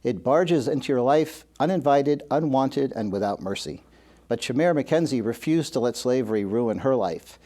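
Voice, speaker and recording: Husky-voiced male talk show host. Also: mid-range voice, lively intonation, dark tone. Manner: measured, brief reactions. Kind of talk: scripted intro and interview.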